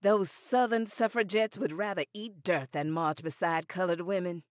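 A woman's voice: on the low side, moderate pace, no room sound, studio quality, close to an everyday voice. A woman playing a dignified, formidable elder of the suffrage movement in a radio drama, formal and pointed. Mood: dry, assured.

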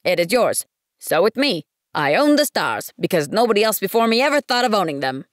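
A woman voicing a pompous, peevish middle-aged businessman who insists on matters of consequence, speaking loudly and firmly. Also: high-pitched, sing-song, brisk, no room sound, studio quality.

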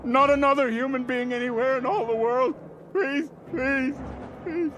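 A man's voice: very high-pitched, a little slow, highs cut off, background noise. An actor playing a desperate man who believes he is the last person alive, pleading and breaking down. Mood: despairing. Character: desperate grown man alone in a ruined city. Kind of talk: radio drama acting.

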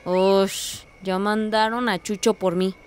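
A woman's voice: mid-range voice, little pitch movement, slow, darkish tone, slight background noise, natural voice. A woman playing a cheerful, studious teenage village girl in a radio drama, talking in a casual, youthful way. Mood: playful, friendly.

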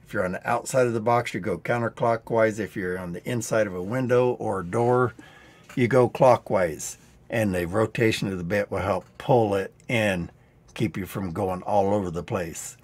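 A man in his sixties hosting a live DIY training stream, going a bit hoarse, explaining tricks in a dry-humored, rambling way on a headset mic indoors. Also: lowish voice, moderate pace. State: getting hoarse. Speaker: DIY channel host. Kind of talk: live-stream teaching chat. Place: indoor studio set.

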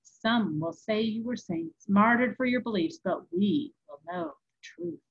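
A solemn medieval abbot, voiced by a woman for a storybook. The tone is earnest and zealous, with menace under the gravity. The voice is husky and the audio slightly dull. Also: on the high side, big pitch swings, exaggerated voice. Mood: grave, menacing. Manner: earnest, grave, drawn-out.

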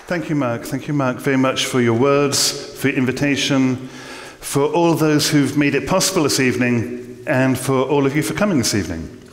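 A middle-aged man with an English accent giving a measured, scholarly theology lecture from a church lectern. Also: mid-range voice, a faint crowd. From England. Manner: measured, scholarly, dry humor. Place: large church, indoors. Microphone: lectern mic. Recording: long church reverb.

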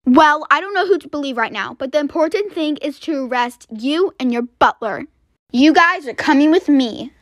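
Woman as a stern, animated police officer. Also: high-pitched, normal speed, dry recording, clean studio sound. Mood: firm. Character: stern police officer rescuing kidnapped children.

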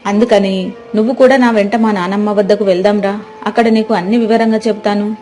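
A woman's voice: mid-range voice, fairly even intonation, a little fast, warm tone, faint music behind, natural voice. Secretive young village girl, voiced by a woman. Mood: secretive, anxious. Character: secretive, caring young girl, afraid of her mother. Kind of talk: scripted moral-story dialogue.

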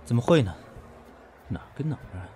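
An actor playing a composed, proud businessman in his thirties in a Chinese audio drama, measured, dry and cutting. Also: medium pitch, expressive, dark tone, natural voice. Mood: cool, sarcastic. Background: background music.

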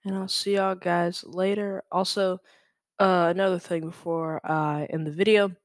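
A young man from Louisiana hosting a casual, unscripted screen-share tutorial, halting and correcting himself as he goes. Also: high-pitched, flat delivery, no echo, clean studio sound. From Louisiana, United States. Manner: hesitant, halting, self-correcting. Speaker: tutorial host. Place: indoors at a computer. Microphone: USB microphone.

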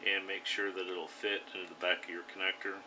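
A man hosting a radio hobby channel, calmly talking viewers through a hands-on build step by step. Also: low-pitched, fairly quick, background noise. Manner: plain, instructive, step-by-step. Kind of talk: DIY tutorial voice-over.

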